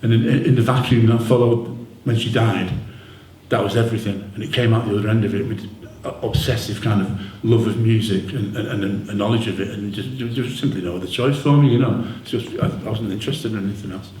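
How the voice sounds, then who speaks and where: A man's voice: on the low side, fairly even intonation, fairly quick, darkish tone. A middle-aged man with a Manchester accent telling personal stories to an audience in a candid, rambling, colloquial way. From Manchester, England. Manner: candid, rambling, colloquial, frequent "you know". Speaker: author and musician interviewed at his book launch. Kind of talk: informal personal storytelling to an audience. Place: indoor event hall, on stage. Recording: roomy live venue with background noise.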